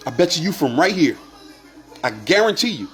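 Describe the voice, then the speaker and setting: A man's voice: on the high side, sing-song, normal speed, soft background music. Fired-up man preaching to viewers. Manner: preachy, repetitive, rising to shouts. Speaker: online commentator. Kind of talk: impassioned monologue to viewers.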